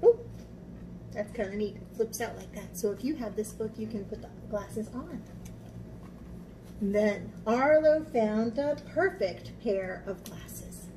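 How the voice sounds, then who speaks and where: A woman's voice: mid-range voice, lively intonation, takes it slowly, warm tone, a little hiss or hum, rough recording. A woman teaching young children in a read-aloud and craft lesson style, explaining things simply and often checking in with little questions. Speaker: children's art teacher and storybook reader.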